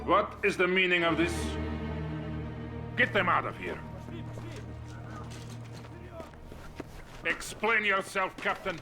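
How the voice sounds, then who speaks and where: A man's voice: high voice, lively intonation, measured pace, music in the background. A man playing a stern, principled Soviet army colonel, firm and commanding, in a video game cutscene. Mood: angry authority.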